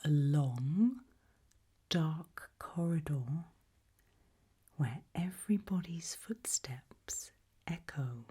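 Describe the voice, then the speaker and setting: A British woman doing an ASMR roleplay in a breathy whisper, putting soft questions to the listener with deliberate pauses. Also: deep voice, lively intonation. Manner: slow, deliberate, with long pauses.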